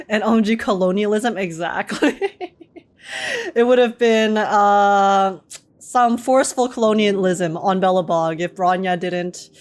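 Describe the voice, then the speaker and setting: A woman streamer giving casual live reactions to a game, chatty and giggly, thinking out loud. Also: mid-range voice, steady tone, unhurried.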